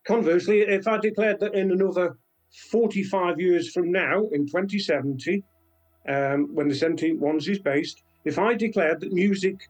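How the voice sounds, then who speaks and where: Older british guy, voice a bit high, explaining stuff carefully and haltingly, faint music behind. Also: rough recording. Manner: hesitant, measured, thoughtful. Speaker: interview guest, science fiction author. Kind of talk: explanatory interview answers.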